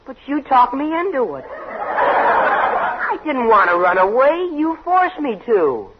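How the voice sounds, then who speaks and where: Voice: sits high, wildly swooping pitch, slow, exaggerated voice. An actor playing a protesting, plain-spoken youngster at home in a 1940s radio comedy. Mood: nervous protest. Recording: muffled, hissy 1940s radio recording.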